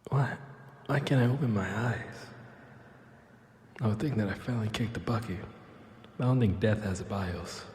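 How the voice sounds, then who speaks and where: A man's voice: lowish voice, a little mellow, natural voice. A man voicing a flippant, rambling cyborg who narrates his own escape in an audio drama. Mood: dazed, flippant. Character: wisecracking male cyborg with a robotic tail, dazed and flippant. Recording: echoey, long reverb, noisy background.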